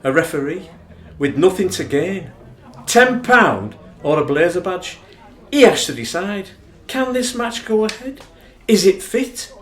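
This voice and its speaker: An actor with a Northern English accent playing an impassioned rugby fan in a radio play, brooding over an old defeat. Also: male voice, high voice, sing-song, a little slow, fairly bright tone, heavily acted. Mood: incredulous. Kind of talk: dramatic radio play retelling. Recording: roomy, echoing sound.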